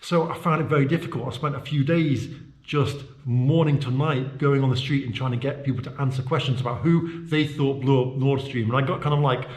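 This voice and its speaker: A man's voice: mid-range voice, a little fast, darkish tone. Rambling British man in an echoey room. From United Kingdom. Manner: rambling, many fillers and restarts. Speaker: vlogger. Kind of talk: casual walking-tour vlog. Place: his own indoor office and studio. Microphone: handheld camera mic.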